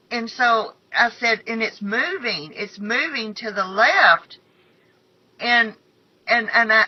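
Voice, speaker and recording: A middle-aged woman with a slightly raspy voice, telling a personal story in a halting way, repeating phrases for emphasis. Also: medium pitch, dry recording. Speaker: channel host. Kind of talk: personal storytelling, recounting a prophetic dream. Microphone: phone. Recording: dull lo-fi phone recording.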